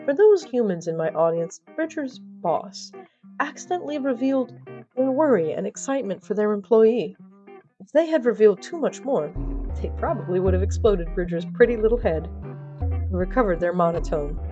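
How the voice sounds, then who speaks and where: A woman's voice: medium pitch, lively intonation, moderate pace, dark tone, dull audio. A woman narrating comic audio fiction in a playful, wry manner, with asides to the listener. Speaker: story narrator. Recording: band-limited sound, music behind.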